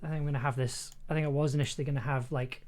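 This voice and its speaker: A woman's voice: rumbling low, fairly even intonation, racing, a little mellow, a little hiss or hum. A woman narrating her sound editing work on a casual livestream, musing to herself in fragments. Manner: thinking aloud, musing in fragments. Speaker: sound designer and streamer.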